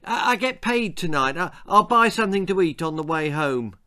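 A man voicing a poor, good-hearted schoolboy in a storybook, halting and eager to reassure. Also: high-pitched, expressive, pushed and bright, pitched above the performer's own voice. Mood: earnest, reassuring.

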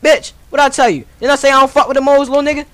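A man with a very high, sharp voice shouts his way through a role-play game. His trash talk is slangy and repetitive. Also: fairly even intonation, racing. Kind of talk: excited gaming trash talk.

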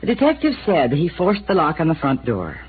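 An actress playing a sharp-tongued, defensive young widow in a 1950s radio drama, talking in a sarcastic, brassy way. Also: female voice, deep voice, huge pitch swings. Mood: guarded. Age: young adult. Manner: sarcastic, brassy, quick to take offense. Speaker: widow of a police chief. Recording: tinny old radio broadcast with hiss.

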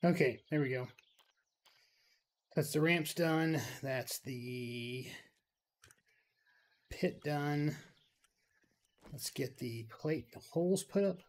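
A man's voice: on the high side, slow, pauses often. A man giving casual, rambling gaming commentary, thinking aloud and grumbling mildly as he builds. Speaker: gaming video creator.

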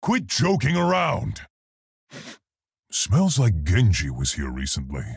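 A man voicing a large, earnest, polite and brave gorilla scientist for a video game, gruff and articulate in his delivery. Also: lowish voice, huge pitch swings, takes it slowly, no echo, studio quality, heavily acted. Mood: calm, awkward. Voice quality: gruff, husky, gravelly. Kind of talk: video game character voice lines.

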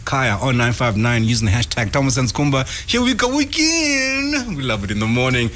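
A man's voice: medium pitch, big pitch swings, brisk, fairly bright tone, a little hiss or hum. A man hosting a morning radio show from a studio kitchen, teasing and playful, speaking into a studio mic. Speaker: radio host. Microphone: studio mic with headphones.

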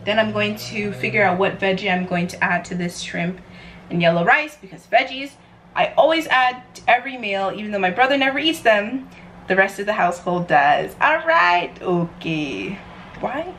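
A young American woman talks casually to a camera in her home kitchen, with a faintly noisy room behind her. Her delivery is chatty and playful with a chaotic streak, at a middling pitch for a woman. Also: expressive, moderate pace, a bit bright. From New York, United States. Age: young adult. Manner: playful, chatty, self-described chaotic energy. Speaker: vlogger. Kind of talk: casual cooking vlog chat to camera. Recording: clear background noise, room ambience.

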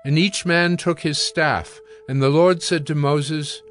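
A man narrating scripture from a script for an audio drama, measured and solemn. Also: fairly high pitch, steady tone, a bit bright. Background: music.

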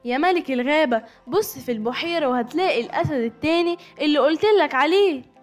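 A woman plays a small, clever girl rabbit from a storybook, high and self-assured. The accent is Egyptian, with gentle music behind. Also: lively intonation, fast talker. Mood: sly, polite. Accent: Egyptian Arabic.

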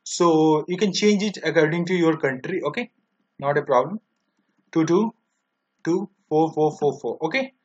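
Indian guy doing screen tutorial, high voice, kinda halting, muffled lo-fi mic. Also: darkish tone. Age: 20s. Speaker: software tutorial presenter. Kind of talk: step-by-step screen demo narration. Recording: dull lo-fi microphone sound.

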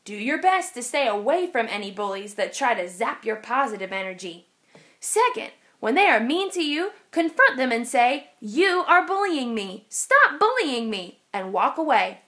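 Casting: kind storybook bus driver, female, high lively voice, emphatic and encouraging mentor read by a woman. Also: moderate pace. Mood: encouraging, firm.

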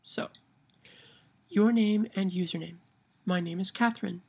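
Nasal Midwestern woman with a gravelly edge. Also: medium pitch, measured pace, darkish tone. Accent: Midwestern American. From Kansas. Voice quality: nasal twang. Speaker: vlogger. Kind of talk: reading a word list and a novel passage aloud. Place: home room by window blinds, indoors. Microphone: webcam at arm's length.

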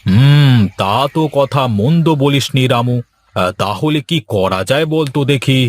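Casting: male performer as a cartoon farm owner, mid-pitched, chatty and inquisitive with his hired hand. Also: expressive, measured pace, close to an everyday voice. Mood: curious, pondering. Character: village shrimp-farm boss, inquisitive with his servant. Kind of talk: cartoon dialogue acting.

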